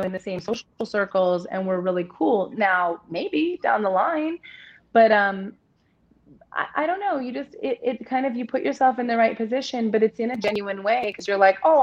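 A woman in her thirties with a deep voice, speaking openly and at length as an interview guest, with many restarts. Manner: open, rambling, restarts often. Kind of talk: candid personal conversation. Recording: dull lo-fi audio.

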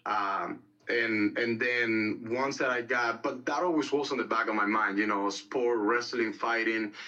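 Blunt man, bright tense voice. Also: fairly low pitch, a little slow. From Cuba. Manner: blunt, rambling, repeats words, swears freely. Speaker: mixed martial arts fighter, podcast guest. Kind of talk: casual storytelling interview. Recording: dull lo-fi sound, distant from mic.

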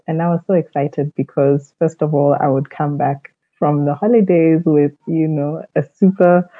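A woman's voice: deep voice, no room sound, phone-quality sound. A woman from South Africa answering conversationally as an interview guest on a podcast. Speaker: podcast guest, leadership mentor.

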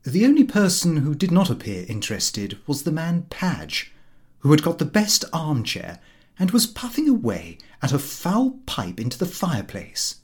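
A man narrating a comic diary in the character of a prim, put-upon, pompous middle-class gentleman. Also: on the high side, wildly swooping pitch, normal speed. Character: fussy, easily affronted middle-class Victorian gentleman diarist. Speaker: audiobook reader.

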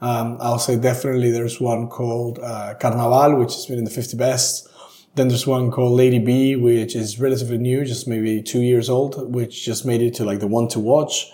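Young male, Peruvian accent, native Spanish speaker, informal interview guest, warm mellow tone, roomy echo. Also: medium pitch, level tone, moderate pace. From Peru. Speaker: guest, drinks brand ambassador. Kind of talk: casual interview conversation. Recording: echoey room.

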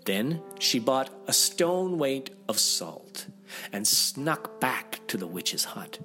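A man's voice: medium pitch, very animated, takes it slowly, bright, forceful tone, soft background music. A man reading a fairy tale aloud as a storyteller, with dramatic, theatrical expression.

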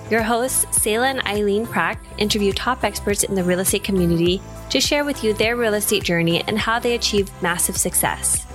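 A woman hosting an interview podcast, speaking in a prepared, presenter style. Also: medium pitch. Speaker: podcast host. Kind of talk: scripted podcast introduction and interview.

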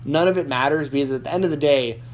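A man's voice: on the high side, fast talker, a little hiss or hum. A man hosting a casual wrestling podcast, rambling with frequent restarts and fillers. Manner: rambling, lots of likes and restarts. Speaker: podcast host. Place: Nashville.

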